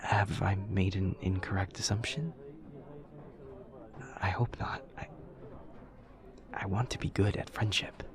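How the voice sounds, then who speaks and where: A man's voice: deep voice, unhurried, long pauses, crowd noise. A man playing an awkward, earnest young demon student in an audio roleplay, speaking in a formal, literal, over-explaining way. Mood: hopeful, tentative. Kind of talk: audio roleplay acting, addressing the listener.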